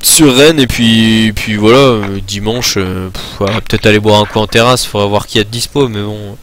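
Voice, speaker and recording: A man's voice: lowish voice, steady tone, normal speed, a bit bright. A man in his twenties from France, chatting casually while streaming a game, rambling with many fillers and restarts, talking into a desk mic at his computer. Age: 20s. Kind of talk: casual chat while gaming live.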